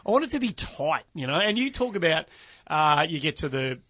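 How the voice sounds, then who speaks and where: An Australian man hosts a casual golf chat on a studio microphone, his voice sitting a little high for a man. He talks in an animated, emphatic way and rattles through the numbers. The sound is dry and close. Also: no echo. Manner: animated, emphatic, runs through stats quickly. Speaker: podcast host.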